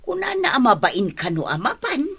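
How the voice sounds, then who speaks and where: A woman's voice: a little high, huge pitch swings, normal speed, a bit bright, breathy edge, exaggerated voice. A woman with an Ilocano accent playing a pushy, practical village wife in a radio comedy drama. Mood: chatty. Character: pushy, practical village wife urging her husband along.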